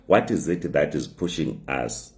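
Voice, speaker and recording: A man with a hoarse voice from the flu, hosting a live talk show from his phone, speaking haltingly with many fillers and repeated words. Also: rumbling low, expressive, a little mellow. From migrant living in South Africa.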